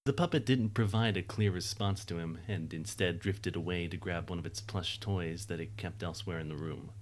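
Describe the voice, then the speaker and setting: A man reading scripted story narration for an audio drama in a measured, wry, literary manner. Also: low voice, a little hiss or hum.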